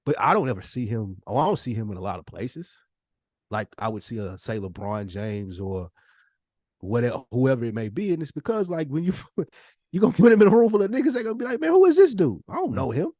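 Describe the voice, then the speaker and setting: An American man with a mid-pitched voice talks as a podcast co-host in casual conversation. He is emphatic and colloquial, making his case with plenty of slang. The sound is very dry, with no room echo. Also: very animated, brisk, warm tone.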